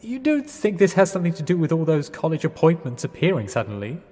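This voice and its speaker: An actor playing a hapless, apologetic young English student in a radio drama, hesitant, literal-minded and politely dithering. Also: male voice, fairly high pitch, huge pitch swings, fast talker, darkish tone. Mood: bemused. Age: young adult. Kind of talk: 1920s-set radio drama acting. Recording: long echoing reverb with music underneath.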